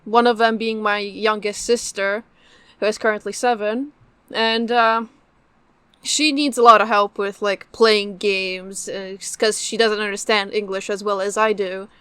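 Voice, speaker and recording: A woman's voice: medium pitch, fairly even intonation, a little slow, fairly bright tone, clean tone, no room sound. A young adult woman, a native Polish speaker, answering interview questions casually as a guest. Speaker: guest, game translator and developer.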